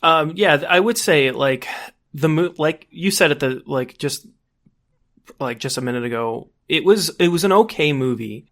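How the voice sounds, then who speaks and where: A man co-hosting a podcast, chatting casually in a halting way and often repeating words as he goes. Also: on the high side, expressive, a bit bright. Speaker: podcast co-host. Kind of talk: casual chat.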